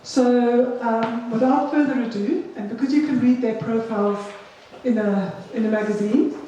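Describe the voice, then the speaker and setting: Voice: mid-range voice, moderate pace, warm tone, dull audio, a little hiss or hum. A panel chair briefly laying out the session and introducing the speaker. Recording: echoey hall from far off.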